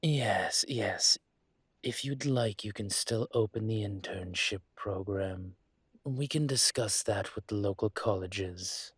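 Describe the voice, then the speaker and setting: A man voicing a calm, courteous dragon mafia boss in a roleplay audio, speaking in a husky, measured, polite way. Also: fairly low pitch, no echo, clean studio sound. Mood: courteous, businesslike. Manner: measured, polite, deliberate with pauses. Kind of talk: scripted roleplay audio monologue to listener.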